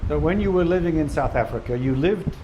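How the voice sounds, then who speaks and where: A man's voice: a little high, expressive, a little fast, dull audio, slight background noise. Rambling man interviewing on a beach. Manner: rambling, self-correcting, friendly questions. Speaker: interviewer and channel host. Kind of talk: casual outdoor interview. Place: beach outdoors.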